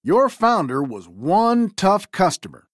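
A woman's voice: mid-range voice, sing-song, slow, dry recording, clean studio sound, voice pushed up. Woman putting on a brash male racer car, pack boss in a kids story, very swoopy. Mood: indignant.

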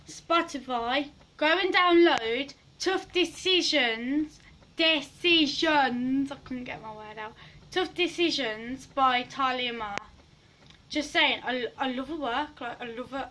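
A woman's voice: high voice, a little hiss or hum. A teenage girl, losing her voice, chatting and rambling in a giggly selfie vlog in her bedroom. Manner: rambling, giggly, drifts loud and soft. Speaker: vlogger. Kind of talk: casual selfie vlog chat. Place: small bedroom indoors. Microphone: phone camera at arm's length.

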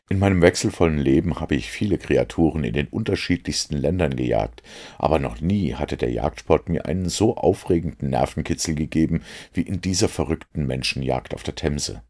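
Guy reading a novel out loud, super low voice, lots of gravel. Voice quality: gravelly, husky. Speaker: audiobook reader. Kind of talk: scripted literary narration.

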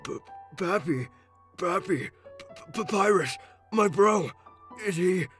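A man voicing a shaken skeleton, a devoted brother, stammering and halting in a dramatic comic dub. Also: sits high, lively intonation, fairly bright tone, full character voice. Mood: frightened, disoriented. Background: music.

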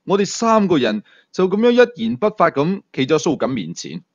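A male storyteller with a Hong Kong Cantonese accent reads a dark thriller. His voice sits a little high and swings expressively with the drama. The recording is somewhat dull and lo-fi. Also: very animated, normal speed. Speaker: audiobook storyteller.

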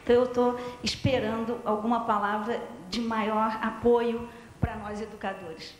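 A Brazilian woman, a psychologist, asking a halting, heartfelt question from the audience into a handheld mic. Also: on the high side, little pitch movement, a little hiss or hum. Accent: Brazilian Portuguese. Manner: halting, heartfelt, many fillers. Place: large hall, audience. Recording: roomy hall, long reverb.

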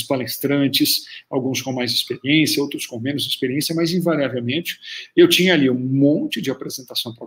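A man's voice: medium pitch, fairly bright tone. A Brazilian man in his forties with a Brazilian Portuguese accent, teaching public speaking to camera in an online lesson, stressing points by repeating them. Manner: emphatic, repeats key phrases for stress. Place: small room at home, indoors.

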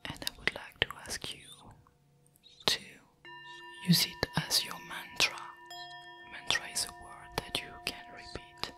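A woman whispers with a French accent, as in an ASMR meditation. She speaks very slowly and soothingly, leaving long pauses between phrases. Music plays behind her. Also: pushed and bright. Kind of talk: whispered ASMR guided affirmation.

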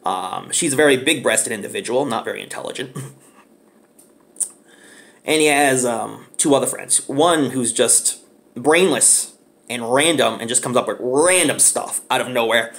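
A man's voice: medium pitch, moderate pace, creaky, a bit bright. A man in his thirties giving a casual, rambling movie review in a small living room, speaking enthusiastically with many fillers into his webcam mic.

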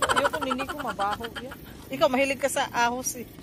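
High, quick woman. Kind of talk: casual chat. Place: outdoors at night among a small group. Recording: noisy outdoor phone recording.

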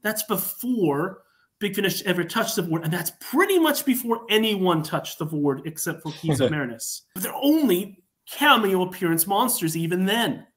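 A man talking enthusiastically as a writer guest on an online panel chat, telling his story in an animated way. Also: sits high, moderate pace. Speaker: podcast guest, fan writer. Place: remote online call.

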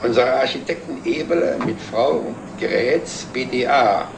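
A man's voice: mid-range voice, unhurried, vocal fry. A man playing a wryly mocking company director in a scripted television drama. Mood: wry, amused. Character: wryly mocking male company director, sardonic and self-ironic. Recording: roomy, distant, noisy 1960s TV soundtrack.

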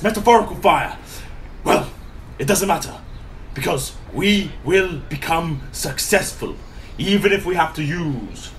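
A man acts out a posh, inept British gentleman in a comic radio play. He speaks in a slightly high voice with grand, fussy formality, often faltering. Faint noise sits on the recording. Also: full character voice. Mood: grandiose, earnest. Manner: grandiose, hesitant, fussily formal. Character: pompous, inept posh brother running a failing antique shop. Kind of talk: radio comedy acting.

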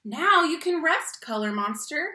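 Young woman, children's read-aloud host. Bright, expressive delivery with emphatic key words, roomy home recording. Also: sits high. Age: young adult. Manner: animated, slow emphatic phrasing on key words, addresses children directly. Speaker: read-aloud teacher and storyteller. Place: small room at home, indoors. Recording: roomy home webcam sound.

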